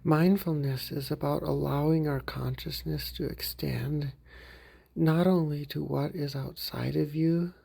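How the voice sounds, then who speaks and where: Slow gravelly male meditation guide. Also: on the high side. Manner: calm, gentle, unhurried, slips into soft whispers. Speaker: therapy group leader.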